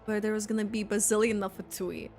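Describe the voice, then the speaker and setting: A woman giving casual, excitable, rambling reaction commentary while playing a video game. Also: mid-range voice, fast, faint music behind.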